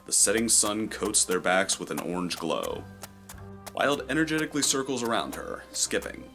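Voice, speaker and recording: A man's voice: lowish voice, very animated, normal speed, fairly bright tone, soft background music. A man reading scripted, dramatic third-person story narration for a fantasy audio drama.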